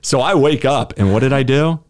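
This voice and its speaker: A man's voice: mid-range voice, moderate pace. A man co-hosting a casual podcast, talking emphatically and defiantly, rambling with frequent restarts. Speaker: podcast co-host.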